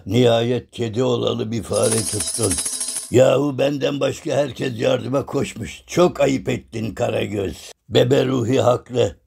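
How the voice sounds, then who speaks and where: A man playing a blunt, grumpy but loyal common man in a children's cartoon, with a gravelly, husky voice and folksy speech. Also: mid-range voice, steady tone, unhurried, a little mellow, exaggerated voice. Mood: ashamed. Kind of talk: animated children's cartoon acting.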